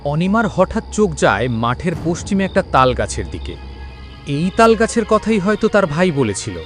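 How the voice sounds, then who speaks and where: A man's voice: fairly high pitch, big pitch swings, moderate pace, soft background music. A man narrating a scripted horror story with dramatic, suspenseful delivery.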